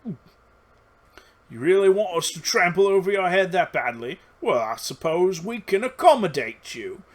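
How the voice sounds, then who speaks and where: A man voicing a cruel, sneering male goblin boss in a fantasy game, drawing out his words slowly. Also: sits high, sing-song, pitched above the performer's own voice. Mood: taunting. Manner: slow, drawn-out gloating delivery.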